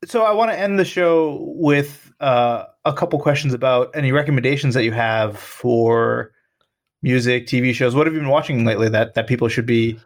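A man with a medium-pitched voice acts as podcast interviewer. He puts his questions in a relaxed conversational style, as casual chat with a guest. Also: moderate pace.

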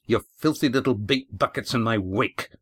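A man playing an arrogant sea captain, slow sneering commands, slightly raised pitch, dry sound. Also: a bit bright, slightly rough, no room sound. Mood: snarling. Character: sardonic tall ship's master, cruel and arrogant.

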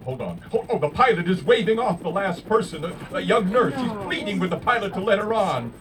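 An actor playing a horrified, melodramatic field reporter, halting as he reports from the scene in a radio drama. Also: high-pitched, expressive, a bit bright, slight background noise. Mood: alarmed. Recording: distant field broadcast, crowd clamour behind.

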